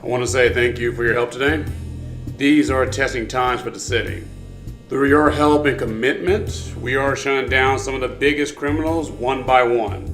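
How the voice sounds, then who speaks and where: A man playing an earnest crime-fighting boss in an audio drama, formally encouraging the team in a measured way. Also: medium pitch, fairly even intonation, fairly bright tone, faint music behind, close to an everyday voice. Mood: grateful, resolute.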